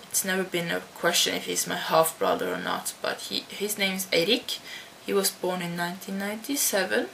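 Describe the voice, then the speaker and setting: A Dutch-born teenage girl chatting to her webcam at home, answering tag questions slowly with many restarts. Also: mid-range voice, creaky, pushed and bright, poor audio. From Netherlands. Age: teens. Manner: slow and halting, with many restarts. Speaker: vlogger. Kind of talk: casual talk to camera answering a tag. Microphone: computer webcam. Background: faint hum of computer fan.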